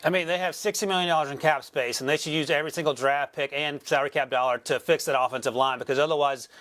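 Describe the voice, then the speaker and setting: Man, sports analyst, rapid delivery, high voice, joking asides. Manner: fast, energetic breakdowns with wry asides. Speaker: draft analyst.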